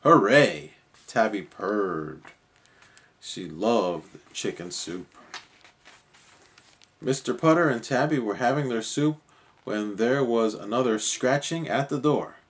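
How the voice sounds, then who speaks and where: Animated, unhurried man reading a children's story. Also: fairly low pitch. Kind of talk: expressive children's story narration.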